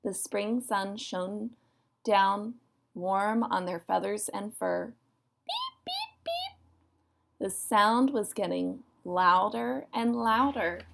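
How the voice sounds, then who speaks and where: A woman narrating a children's story in an expressive read-aloud style. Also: medium pitch, slow, creaky, background noise.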